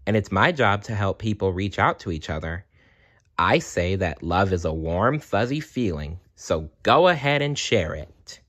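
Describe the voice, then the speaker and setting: A man's voice: fairly low pitch, a bit bright, full character voice. A man voicing cheerful, caring cuddly teddy bears from a children's storybook in a friendly, encouraging manner.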